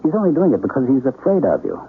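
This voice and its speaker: An actor playing a serene, mysteriously knowing man in a 1970s radio drama, speaking in insistent, deliberate short phrases. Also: medium pitch, huge pitch swings, slight background noise. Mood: gently insistent. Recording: dull lo-fi old radio broadcast.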